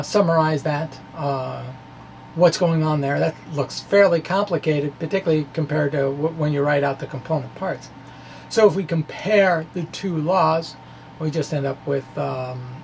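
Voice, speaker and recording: A man giving an informal explanatory lecture in a halting, conversational way, with asides. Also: on the high side, slight background noise. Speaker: physics blogger presenting derivations.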